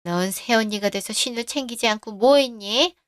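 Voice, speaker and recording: A woman's voice: a little high, normal speed, dry recording, studio quality, close to an everyday voice. A woman voicing a pushy, boastful older mother-in-law who demands money. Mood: demanding. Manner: demanding, scolding.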